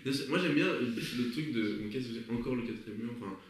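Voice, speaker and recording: A young Frenchman talks quickly and insistently in a loose, slangy way. He pitches comedy script ideas to a group and acts out bits of dialogue. The room is strongly echoey. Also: a little hiss or hum. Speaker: podcast member brainstorming a comedy script. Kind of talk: casual slangy group chat.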